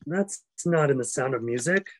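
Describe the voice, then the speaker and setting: A man's voice: mid-range voice, animated, moderate pace, fairly bright tone, a little hiss or hum, lightly acted. A man playing an impatient, short-tempered theater director, curt and sighing, on a webcam.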